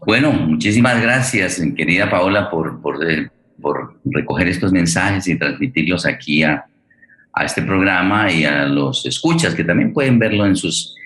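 Guy hosting a live show, low voice, keeps stopping to find his words, roomy echo. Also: expressive, a bit bright. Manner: halting, searching for words. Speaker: program host. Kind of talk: live unscripted hosting of a radio theatre program. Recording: roomy, echoing sound.

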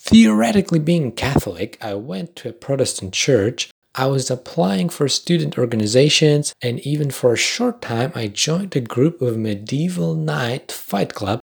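A young man from Poland with a Polish accent, hosting a podcast in a measured, scripted reflective style with dry understated humour. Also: medium pitch, expressive, gravelly, breathy edge. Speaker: podcast host. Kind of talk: scripted reflective narration with commentary.

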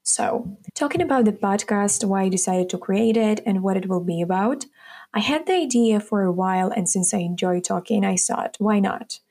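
A woman's voice: medium pitch, normal speed, dark tone. Upbeat nineteen-year-old woman hosting. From Ukraine. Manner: chatty and upbeat, addressing listeners as guys. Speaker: podcast host. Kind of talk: casual solo podcast monologue.

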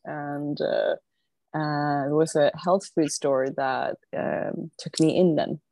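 Low, slow-speaking woman. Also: steady tone, creaky, warm tone, no room sound. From southern Sweden. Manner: thoughtful, unhurried, often pausing to think. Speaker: podcast guest. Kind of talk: casual conversational interview. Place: at home. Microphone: remote online call.

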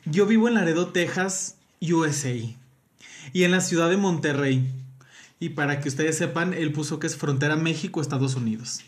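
A man's voice: a little high, moderate pace. A man with a Mexican accent, chatting casually and playfully reading aloud as a podcast co-host.

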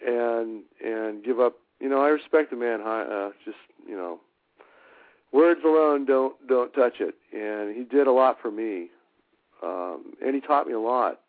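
A man guesting on a radio show, talking casually and haltingly with many fillers. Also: mid-range voice, no echo. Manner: halting, warm-hearted, many fillers and restarts. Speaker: musician guest. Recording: dry, tinny phone line.

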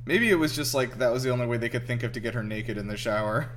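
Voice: mid-range voice, fast, heavy background noise. A co-host of a reaction show chatting casually about a movie, with slangy, sweary talk. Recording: film soundtrack playing behind.

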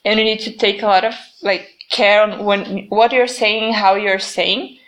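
A woman's voice: mid-range voice, steady tone, measured pace, a bit bright, rough recording. A young woman with a Brazilian Portuguese accent, chatting casually in English, her second language, with lots of "like". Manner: chatty, fills with "like". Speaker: conversation partner. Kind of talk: casual chat.

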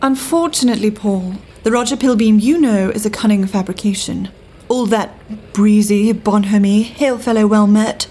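A woman's voice: medium pitch, normal speed, warm tone, natural voice, low-quality recording. An actress with a British accent playing a cool, worldly 1930s government agent woman in an audio drama, genteel, dry and arch. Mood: coolly detached. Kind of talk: full-cast audio drama acting.

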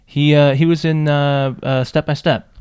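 A man's voice: fairly high pitch, level tone, normal speed, darkish tone, clean tone, no echo. Man, podcast co-host, chatty, rambling, dry close sound. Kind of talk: casual chat.

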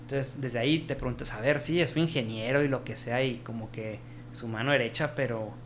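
Mexican man, casual podcast co-host, mid-pitched voice, animated retelling, faint room ambience. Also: fairly quick, slight background noise. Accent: Mexican Spanish. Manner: animated storytelling, acts out quoted dialogue, frequent restarts. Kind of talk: casual film discussion.

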